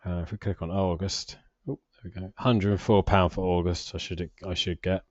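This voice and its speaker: A man's voice: deep voice, steady tone, moderate pace, a little mellow, no room sound, highs cut off. A British man in his forties giving a casual, unscripted finance vlog commentary in a hesitant, rambling, self-deprecating way. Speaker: personal finance vlogger.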